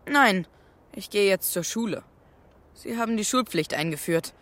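A woman's voice: mid-range voice, lively intonation, normal speed, fairly bright tone, natural voice. A woman voicing a cheeky, mocking schoolboy rascal in a children's radio play, brash and teasing.

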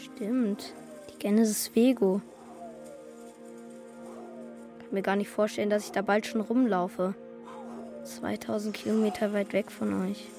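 An actress playing a youthful, informal, slightly grumbling child in a German children's radio drama. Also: female voice, medium pitch, fairly even intonation, moderate pace, over music, lightly acted. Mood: wistful. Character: child about to emigrate to space, attached to dog.

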